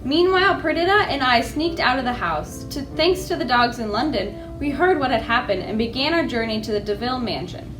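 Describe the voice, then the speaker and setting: A woman's voice: sits high, lively intonation, a little fast, hardly any pauses. A woman storyteller reading a children's storybook aloud expressively, with a dramatic manner. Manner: dramatic, with asides to young listeners. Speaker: library storyteller. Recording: echoey room with faint music.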